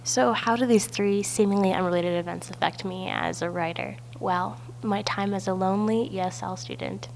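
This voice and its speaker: A young woman from Belarus, a native Russian speaker, with a raspy, stuffy voice, narrating her personal story for a class project. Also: mid-range voice, fairly even intonation, normal speed, few pauses, a little hiss or hum, rough recording. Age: young adult. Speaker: student. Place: outdoors at a balloon festival.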